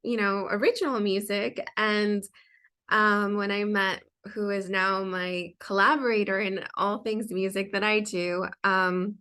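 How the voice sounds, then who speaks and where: A woman's voice: mid-range voice, steady tone, unhurried, sharp tone, clean tone. A young adult woman, a designer and singer, telling her story as an interview guest in a chatty way full of fillers and restarts.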